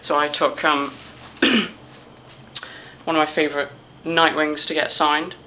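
A woman's voice: sits low, flat delivery, unhurried, bright, forceful tone, slight background noise, rough recording. A young woman from England with a British accent, chatting casually to her camera from a small hotel room, tired and self-deprecating. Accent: British English. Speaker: vlogger. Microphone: camera at arm's length.

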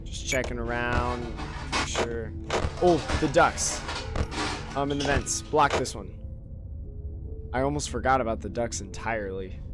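Slightly hoarse man, unhurried game talk. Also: medium pitch. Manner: mumbling to himself, many ums. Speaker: game streamer. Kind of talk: casual game commentary. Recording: voice over loud game music.

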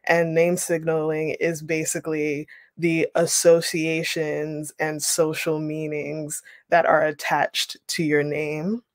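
Young woman on a video call, measured reading, pretty monotone, dry close sound. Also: low-pitched, dry recording. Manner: measured reading, then relaxed explaining with ums. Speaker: writing workshop leader. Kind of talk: reading aloud and teaching a workshop. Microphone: webcam on a video call.

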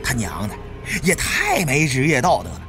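Male narrator, dramatic novel reading, highly animated pitch, faint music underneath. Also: moderate pace. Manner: expressive storytelling. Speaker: audiobook narrator.

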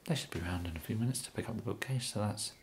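A young man with an English accent in a soft-spoken ASMR comedy roleplay, hesitant and mumbling, as a bumbling, polite amateur kidnapper. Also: fairly low pitch, very fast, slight background noise. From England. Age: young adult. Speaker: ASMR performer.

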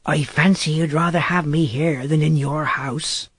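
A man voicing a wild, sly teenage werewolf boy who speaks slowly and insolently, savouring words in a husky voice, for an audiobook. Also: on the high side, fairly even intonation, a bit bright, no echo, clean studio sound. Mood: coolly menacing. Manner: slow, drawn-out, relishing words. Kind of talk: audiobook character voice.

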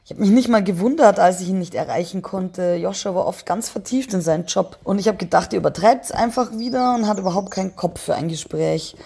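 A woman playing a weary, grieving mother in an audio crime drama, subdued and reluctant, with a husky, creaky voice. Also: mid-range voice, fairly quick, few pauses, warm tone. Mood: subdued, sad. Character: grieving, weary mother of a dead young man.

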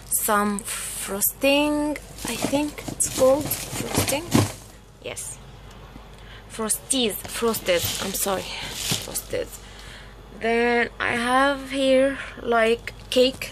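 A woman vlogger chatting casually and unscripted, haltingly searching for words as she shows things at home. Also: a little high. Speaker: vlogger showing a grocery haul. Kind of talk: unscripted casual show-and-tell chat. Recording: noisy handheld home recording with room ambience.

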